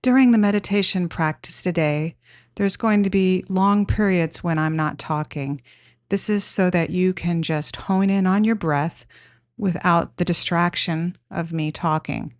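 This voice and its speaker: Female meditation guide: gentle, instructional, very unhurried delivery, slightly low pitch. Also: no room sound, clean studio sound.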